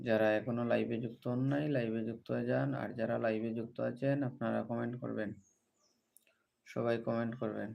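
A man's voice: mid-range voice, little pitch movement, brisk, creaky, a little hiss or hum. A man hosting a casual livestream, greeting viewers and repeatedly appealing to them to take part. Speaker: livestream host. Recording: dull lo-fi livestream audio.

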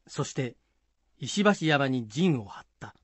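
A man's voice: mid-range voice, big pitch swings, slow, breathy edge, dry recording. A man narrating a historical tale from a script as storyteller.

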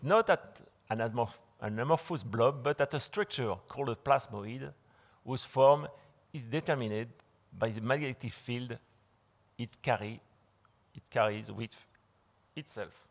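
A man lecturing in English, which is not his first language, in a large dark hall. He speaks through a podium mic, with many fillers and restarts. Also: medium pitch. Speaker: conference speaker. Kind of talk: slide lecture.

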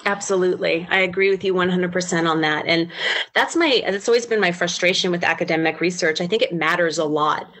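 A woman's voice: fairly low pitch, a little fast, a bit bright, faint music behind. A middle-aged American woman speaking as a researcher in an interview, explaining things over a video call. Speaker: academic researcher, interview guest.

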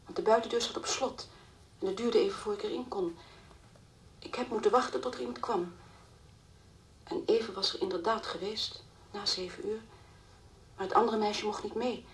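An actress playing the anxious, distraught mother of a missing girl in a Dutch radio play, halting and repeating her words. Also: mid-range voice, steady tone, lightly acted. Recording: old radio play recording, faint hiss.

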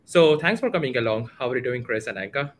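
A man's voice: medium pitch, animated, fast talker, slight background noise. A man in his twenties with an Indian accent, casually hosting an online community meetup and introducing speakers in an informal way. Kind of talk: casual livestream event introduction. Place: home room, indoors, video call. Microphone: headset.

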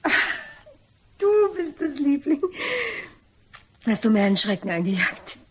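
A woman's voice: fairly high pitch, lively intonation, measured pace, a bit bright, slightly rough, full character voice. A woman playing a nervous, elegant young mademoiselle in a crime radio play, emotional and polite but condescending. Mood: relieved, elated.

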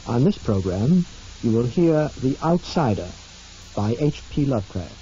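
A man announcing a 1960s radio drama from a script, slow, solemn and formal, on a scratchy old recording. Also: medium pitch, big pitch swings, dark tone, highs cut off.

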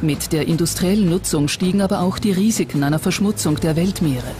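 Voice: medium pitch, a little fast, background noise. A documentary narrator reading a scripted text in a factual documentary style. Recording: music bed under narration. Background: background music.

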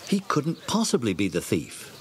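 A man narrating a children's audio drama with animated storytelling. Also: fairly low pitch, normal speed.

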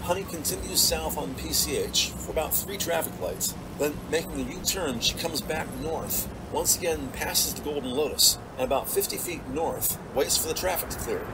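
A man narrates a scripted audio drama in a fairly high voice. His delivery is measured and descriptive, carrying the story along step by step. Music plays clearly behind him. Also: poor audio.

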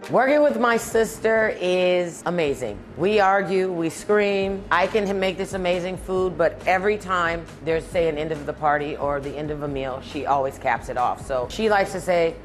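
A woman in her forties, a chef and restaurant owner, talking about her food in a relaxed interview. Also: lowish voice, normal speed, few pauses. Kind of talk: interview soundbites. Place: restaurant dining room. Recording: music bed under voice.

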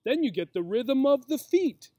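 A man's voice: very high voice, sing-song, moderate pace, warm tone, dry recording. A man in his forties reading a picture book aloud as a teacher, with simple, encouraging talk for young children. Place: outdoors in a grassy yard. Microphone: camera or laptop mic at about arm's length.

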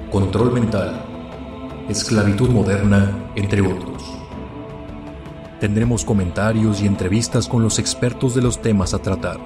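A man narrating a dramatic, scripted show intro. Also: fairly low pitch. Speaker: announcer. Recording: voice over prominent music bed. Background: music.

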